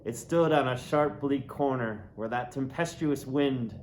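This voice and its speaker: A man reading a classic novel aloud in a deliberate, dramatic way, lingering on words. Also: mid-range voice, a little mellow, slight background noise. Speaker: audiobook reader. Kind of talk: literary narration, theatrical reading of a classic novel.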